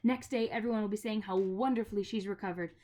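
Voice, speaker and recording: A woman reading aloud voices a proud, menacing witch queen who commands and then coaxes in a hushed voice. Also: mid-range voice, steady tone, quick pace, close to an everyday voice. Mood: tempting.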